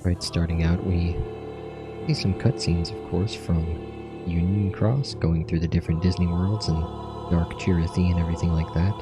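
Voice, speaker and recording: A man's voice: sits low, fairly even intonation, moderate pace, music in the background. A man hosting a gaming channel, casually narrating and commenting as he plays through a game.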